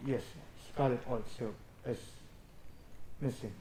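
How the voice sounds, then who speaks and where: A man's voice: mid-range voice, steady tone, slow pace, warm tone, close to an everyday voice. A man playing a polite, subdued, deferential butler in an amateur stage play. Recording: echoey student stage recording, faint noise.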